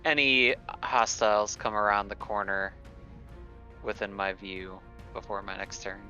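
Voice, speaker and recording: Male player, low voice with a sharp bright edge, thinks aloud. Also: flat delivery, unhurried, dull audio, faint music behind. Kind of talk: casual chat at a game table.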